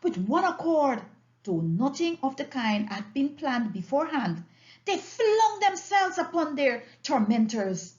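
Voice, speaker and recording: A woman narrating a storybook aloud as an audiobook reader, with an expressive read-aloud delivery. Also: high voice, a little slow, lo-fi sound.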